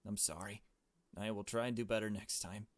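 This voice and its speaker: Casting: male reader as soft-spoken, lowish-pitched getaway driver, polite and measured, forever placating, visual novel role. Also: fairly even intonation, no room sound, lightly acted. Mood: apologetic. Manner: measured, polite, formal, subdued. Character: calm, apologetic, cautious male getaway driver.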